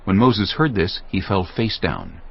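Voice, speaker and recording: A man's voice: sits low, big pitch swings, measured pace, creaky, breathy edge. A man narrating a dramatised scripture audiobook in a scripted storytelling style. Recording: slightly dull audio, faint background noise.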